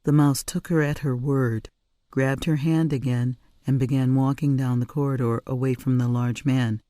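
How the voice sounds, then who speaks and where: A woman narrating a story in an expressive audiobook reading style. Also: very deep voice, moderate pace, no echo. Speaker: author or reader narrating a story.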